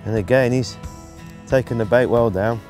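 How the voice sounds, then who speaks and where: Middle-aged male angling presenter, relaxed and instructional, mid-pitched, halting delivery, recorded outdoors with music behind. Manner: unhurried, halting phrases while busy. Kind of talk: relaxed instructional commentary while fishing. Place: outdoors on an open lakeside bank.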